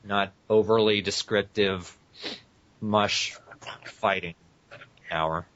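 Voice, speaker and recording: A very low-pitched man reads fan fiction aloud in a casual podcast style, stumbling over words and correcting himself. The recording is dull and lo-fi. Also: fairly even intonation, slow pace, fairly bright tone. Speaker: podcast co-host. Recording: muffled, echoey lo-fi podcast audio.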